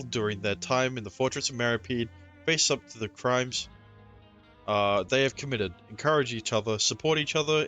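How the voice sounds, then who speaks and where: Bright-voiced male game streamer. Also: medium pitch. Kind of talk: casual live playthrough commentary, reading text aloud.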